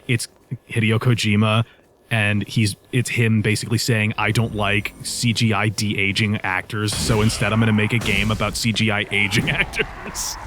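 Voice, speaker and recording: Male let's play co-host, lower register, loose conversational commentary with plenty of swearing and jokes. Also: steady tone, normal speed, background noise. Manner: profane, joking. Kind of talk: casual banter, gameplay commentary.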